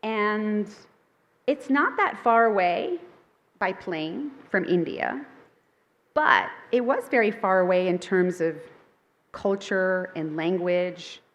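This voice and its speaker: Woman author at a festival talk, chatty and upbeat, mid-pitched, many ums and restarts, first language Thai. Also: animated, slow, lo-fi sound. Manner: upbeat and chatty, with frequent fillers and self-corrections. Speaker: picture book author giving a festival talk. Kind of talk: conversational presentation to a live audience.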